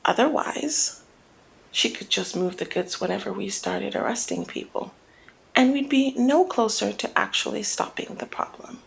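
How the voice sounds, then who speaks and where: Woman performing a female mob boss, seductive and calculating, ASMR roleplay, strong gravelly fry, mid-pitched, teasing, deliberate, half-whispered. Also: rough recording. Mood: teasing, confiding. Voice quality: breathy creaky murmur, often dropping to whisper. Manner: teasing, deliberate, drawn-out phrasing. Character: flirty, cunning female mob boss, coolly persuasive. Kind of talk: ASMR roleplay, intimate scripted monologue.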